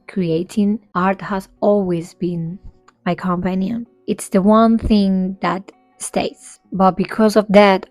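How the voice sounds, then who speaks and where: A young woman from Spain, whose first language is Spanish, talking in English in a soft-spoken, candid and reflective way to her camera. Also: medium pitch, no room sound, low-quality recording. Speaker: vlogger and independent singer. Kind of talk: improvised personal talk to camera.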